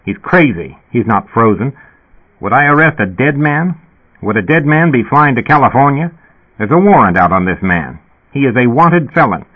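A man's voice: mid-range voice, animated, moderate pace, slightly rough, voice pushed up. A man voicing a blunt, crude, skeptical male police officer. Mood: exasperated. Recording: tinny phone-like sound.

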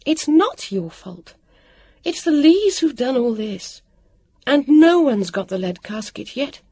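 A woman voicing a spirited, sharp-tongued, excitable young girl with urgent delivery in a storybook reading. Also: fairly quick, slightly rough. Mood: snappish. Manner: high, urgent, emphatic.

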